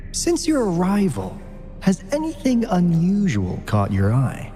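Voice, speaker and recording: An actor playing a suave guide from a secretive sci-fi organization in a video game, with measured, smooth explanations. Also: male voice, medium pitch, mellow. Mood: intrigued, musing. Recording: game music faintly behind.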